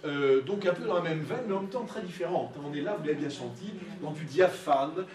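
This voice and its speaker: A man's voice: on the high side, animated, brisk, a crowd in the background. A man giving an interactive art lecture, conversational and peppered with tag questions. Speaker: art lecturer leading a discussion. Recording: echoey room, distant mic, audience murmur.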